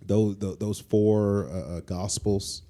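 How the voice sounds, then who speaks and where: A man's voice: fairly low pitch, fairly even intonation, takes it slowly, mellow. A man talking casually as a podcast co-host, informal and slangy, often repeating phrases for emphasis. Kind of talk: casual conversational talk.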